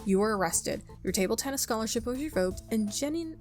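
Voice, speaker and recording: A woman's voice: fairly high pitch, animated, a little fast. A woman hosting a gaming video, casually commenting and reading game text aloud in a chatty, playful way, close on the mic. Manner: chatty, self-talking, playful. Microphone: close microphone without pop filter. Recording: music behind the voice.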